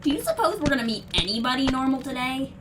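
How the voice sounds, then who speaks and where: A woman's voice: sits high, sing-song, fast, noisy background. A woman playing a plucky, breezy young circus girl who chatters resourcefully in a family audio drama. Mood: frank, curious. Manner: chirpy, breezy, self-talking. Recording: faint music under the voice.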